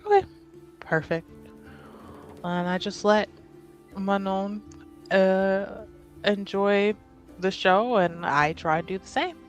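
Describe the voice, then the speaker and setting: Woman, tabletop player, unhurried slow pace, mid pitch, casual playful table talk, faint music behind. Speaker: player in a tabletop roleplaying game.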